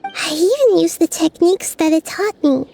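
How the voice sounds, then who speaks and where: A woman voicing a calm, thoughtful, childlike goddess in an anime game, with a soft girlish voice and measured delivery. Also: very high-pitched, creaky, a little hiss or hum, full character voice. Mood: weary. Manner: measured, reflective. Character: childlike girl deity, calm, wise, thoughtful.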